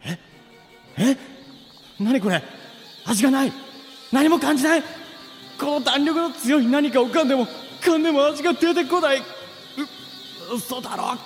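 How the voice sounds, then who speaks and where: A man's voice: extremely high pitch, expressive. A man playing a panicky young man who protests and exclaims, in a comedy radio drama. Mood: disgusted. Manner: exclaiming, quick to object. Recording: background music under the voice.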